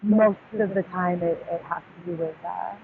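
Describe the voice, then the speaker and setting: A woman's voice: lowish voice, fairly even intonation, normal speed, creaky. A woman in her twenties from Texas, talking openly and reflectively in a street interview, with many hesitations. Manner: open, reflective, many ums. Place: outdoors near a park. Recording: phone-like audio with outdoor noise.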